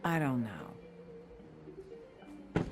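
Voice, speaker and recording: A guarded woman tending bar in a cinematic video game. Her voice is low and very animated in pitch, curt and defiant as she deflects. Music sits behind her. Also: drawn-out words, heavily acted. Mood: evasive, flustered. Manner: curt, evasive.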